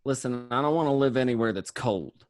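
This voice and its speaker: A man playing a weary mobster turned informant, telling his story in a conversational, streetwise, halting way. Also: medium pitch, natural voice. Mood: irritated. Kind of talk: first-person crime narration, acted table read.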